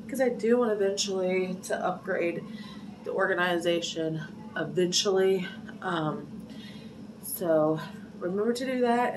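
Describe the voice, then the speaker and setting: A young woman vlogs from a small pantry at home, her voice thick and stuffed up from a cold. She rambles along with lots of fillers, a little far from the phone, with a clear hum of room noise behind her. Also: mid-range voice. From Ohio. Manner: chatty, rambling with many fillers. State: congested from a cold. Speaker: vlogger. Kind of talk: casual vlog to camera. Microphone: phone camera at arm's length.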